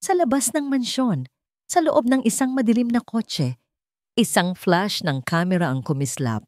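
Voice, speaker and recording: A woman narrates a scripted dramatic story in a measured delivery, pausing between phrases for effect. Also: mid-range voice, expressive, a little mellow, no room sound, studio quality.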